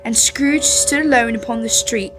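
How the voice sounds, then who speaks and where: A young woman narrates a radio drama in a slightly high voice. Music plays clearly behind her scripted storytelling. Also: normal speed, poor audio.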